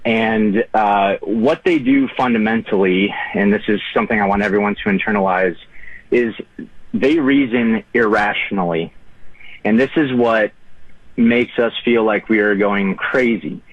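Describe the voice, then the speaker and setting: A man's voice: on the low side, moderate pace, dry recording. A man in his thirties calling in to a talk show, speaking earnestly with many fillers and restarts. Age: 30s. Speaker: caller. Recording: muffled dry call-in line.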